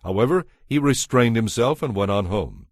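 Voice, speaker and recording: A man narrating a dramatized Bible in a measured, scripted storytelling style. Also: lowish voice, expressive, no room sound, studio quality.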